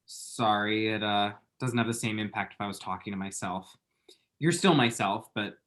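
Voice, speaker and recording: A man's voice: on the low side, moderate pace, fairly bright tone, lightly acted. An actor playing an anxious, lovestruck young man in a screenplay table read, chatty, emphatic and self-dramatizing. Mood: exasperated.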